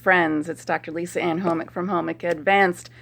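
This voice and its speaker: Stuffy-nosed hoarse lady vlogging on her phone, low and crackly, chatty and opinionated. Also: steady tone, normal speed, a bit bright. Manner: chatty, opinionated, laughs while talking. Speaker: chiropractor vlogger. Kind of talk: casual talk to camera.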